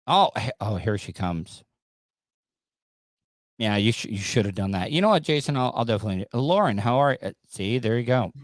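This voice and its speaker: A man's voice: fairly low pitch, animated, fairly quick, dry recording, studio quality. A man hosting a casual podcast, talking loosely with restarts and fillers, sweaty and coming down with a sinus infection. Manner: rambling, restarts and fillers, joking. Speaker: podcast host. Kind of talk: casual livestream chat.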